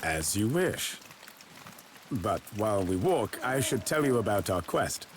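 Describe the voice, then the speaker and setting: A man's voice: fairly low pitch, very animated, measured pace. A man playing a grave, weary knight lord who leads a quest in a game cutscene, with formal speech. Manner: solemn, formal. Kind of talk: dramatic game cutscene acting. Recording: rain and clear background noise.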